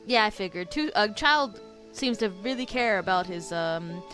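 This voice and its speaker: A woman streamer reacting casually to a video game, chatty and excitable. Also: medium pitch, normal speed, soft background music. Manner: chatty, excitable, laughs often.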